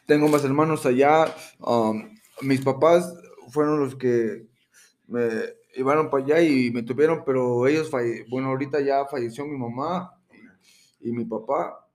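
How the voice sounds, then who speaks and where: A man in his thirties, from Mexico and raised in Florida, answering questions hesitantly with many pauses as a rapper guest. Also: medium pitch, steady tone, darkish tone. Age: 30s. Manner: hesitant, many ums and restarts. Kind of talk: casual interview.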